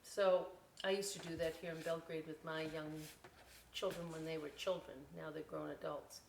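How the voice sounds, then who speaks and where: A middle-aged woman reading a children's picture book aloud in an expressive, folksy way, pausing for chatty, simple explanations. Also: low voice, creaky, a little hiss or hum. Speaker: library storytime host reading aloud. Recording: roomy echo, distant microphone.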